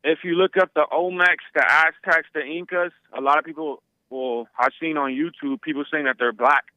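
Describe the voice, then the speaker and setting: A man's voice: a little high, steady tone, moderate pace, bright, forceful tone, no echo. A man calling in to a talk show, arguing insistently and repeating himself as he stumbles over words. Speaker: caller. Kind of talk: phone-in argument. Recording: tinny phone line.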